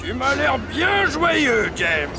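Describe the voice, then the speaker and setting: A man's voice: very high voice, sing-song, takes it slowly, gravelly, background noise, exaggerated voice. A man voicing a friendly, obliging machine character in a children's railway cartoon. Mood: cheerful. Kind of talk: animated children's cartoon dub. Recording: music behind the voice.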